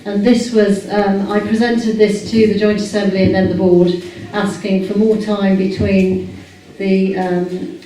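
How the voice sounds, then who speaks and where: A woman's voice: medium pitch, little pitch movement, unhurried, hardly any pauses, warm tone. A woman chairing a public meeting, hesitant with many fillers as she puts questions to the room. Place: large echoey meeting hall. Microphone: far camera microphone. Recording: echoey hall recorded from far off.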